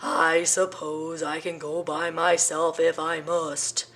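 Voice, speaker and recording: A woman voicing a wise male elephant who speaks slowly and deliberately. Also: on the low side, fairly even intonation. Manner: slow, deliberate and reassuring.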